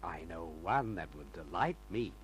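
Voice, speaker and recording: Gruff, wildly expressive police inspector. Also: male voice, sits low, normal speed. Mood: gruff, sarcastic. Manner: curt, sardonic, irritable. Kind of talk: old-time radio crime drama acting. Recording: noisy humming old radio recording.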